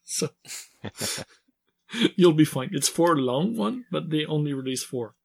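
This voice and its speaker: A man co-hosting a hobby podcast, easygoing and quick to laugh. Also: a little high, lively intonation, gravelly. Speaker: podcast co-host. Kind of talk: casual chat.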